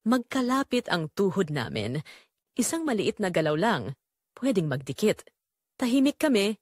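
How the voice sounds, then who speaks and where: Female narrator, Filipino accent, scripted audio drama storytelling, expressive intonation, dry close sound. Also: medium pitch, moderate pace, no room sound.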